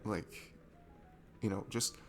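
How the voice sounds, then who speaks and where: A man playing a shy, insecure, sweet guy at a bar in an intimate audio roleplay, talking in a nervous, halting, apologetic ramble. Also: close to an everyday voice. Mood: curious, awkward. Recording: music behind the voice. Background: music.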